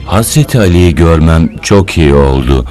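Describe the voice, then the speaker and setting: Pious working man, cartoon dub, low pitch, swooping intonation, earnest, respectful, music behind. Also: animated, unhurried. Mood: grateful. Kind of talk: dubbed religious cartoon acting.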